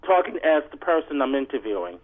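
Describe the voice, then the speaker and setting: A man calling a radio show over a phone line, reading aloud and bantering in a halting, insistent, deadpan way. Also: medium pitch. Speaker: call-in guest on a radio show. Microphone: telephone. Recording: tinny, narrow phone line.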